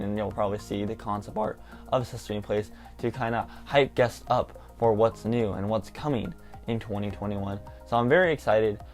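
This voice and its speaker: A man's voice: on the low side, darkish tone. A nineteen-year-old man, hosting a casual theme park vlog, rambling enthusiastically with lots of "like". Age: young adult. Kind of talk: casual excited vlog talk.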